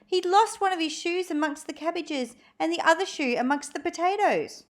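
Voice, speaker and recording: A woman reading a storybook aloud for children in an expressive, animated way. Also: extremely high pitch, moderate pace. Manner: animated, acts out dramatic moments for young listeners.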